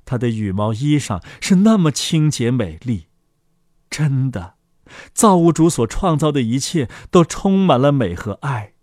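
A man narrating a fairy tale for children as the host, in an expressive scripted storytelling style. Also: medium pitch, a little slow, no room sound, studio quality.

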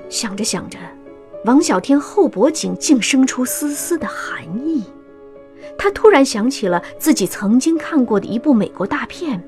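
Female drama narrator, slightly high pitch, lively melodic reading, light music bed. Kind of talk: scripted audio drama narration.

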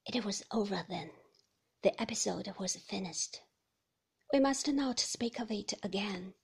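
A woman narrating a novel in a hushed, intimate whisper, as a whispered audiobook reading. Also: mid-range voice, unhurried. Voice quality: breathy whisper.

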